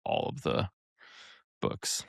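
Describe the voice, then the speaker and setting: A man's voice: takes it slowly, no echo, clean studio sound. A man co-hosting a casual fan podcast, laid-back and joking, with lots of "like". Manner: laid-back, fills with "like" and jokes. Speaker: podcast co-host.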